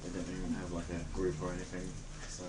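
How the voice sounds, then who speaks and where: Casting: male, late teens, southern English, slightly low pitch, informal hesitant interviewee in a roomy space. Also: monotone, fast talker, far from the mic. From Reading, England. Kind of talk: casual documentary interview. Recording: roomy echo, faint background noise.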